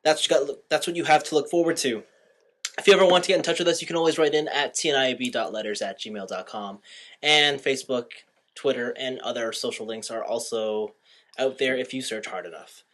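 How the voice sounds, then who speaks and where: A man's voice: medium pitch, a little fast, a bit bright. A man hosting a podcast, chatting casually and explaining things in an informal way about a movie. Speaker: podcast host. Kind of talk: casual movie review chat.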